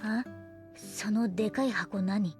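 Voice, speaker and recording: An actress playing a gruff, blunt but caring woman researcher in a Japanese anime audio drama. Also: mid-range voice, takes it slowly, a little mellow, faint music behind. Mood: dry, mildly surprised. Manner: blunt, rough-spoken, grumbling.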